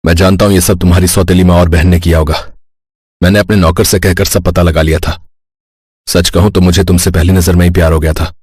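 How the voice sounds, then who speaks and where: A man's voice: deep voice, flat delivery, a little fast, a little mellow, husky, no echo, studio quality. A man playing an earnest, kindly, reassuring helper in a folk-tale audio story. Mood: earnest, tender. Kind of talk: acted dialogue in an audio story.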